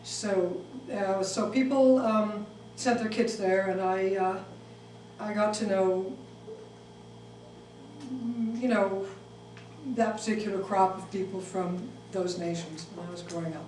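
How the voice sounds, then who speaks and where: A woman's voice: mid-range voice, steady tone, takes it slowly, a little mellow. Hesitant middle-aged woman in an echoey room. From Switzerland. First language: German. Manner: hesitant, many ums and restarts. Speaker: invited speaker. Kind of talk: public talk with audience questions. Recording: echoey room, distant mic, faint hiss.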